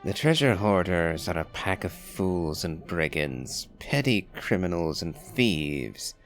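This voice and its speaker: A man giving wry, measured, articulate commentary on a game's story. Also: deep voice, very animated. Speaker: gamer, channel host. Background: game music.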